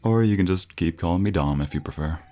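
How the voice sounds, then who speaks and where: A man's voice: low-pitched, fast talker, mellow, lightly acted. A man playing a playful, doting scoundrel of a lover in a romantic audio roleplay, teasing affectionately. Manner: teasing, affectionate, chuckling banter.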